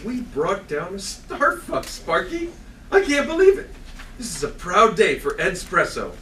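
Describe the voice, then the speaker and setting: Guy acting a warm-hearted excitable cafe boss in a comedy read, super bright voice, echoey far mic. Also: high-pitched, a little slow, exaggerated voice. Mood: relieved. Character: excitable widowed coffee shop owner, warm-hearted boss. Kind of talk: comedy script table read. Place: small theatre stage, seated before an audience. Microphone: far camera. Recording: roomy distant stage recording, some noise.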